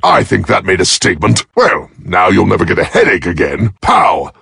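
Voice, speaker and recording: A man playing a cocky, trigger-happy robot soldier in a video game, with a gruff, gravelly voice and a brash, wisecracking manner. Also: deep voice, huge pitch swings, moderate pace, bright, forceful tone, no echo, studio quality, heavily acted. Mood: cocky, gloating.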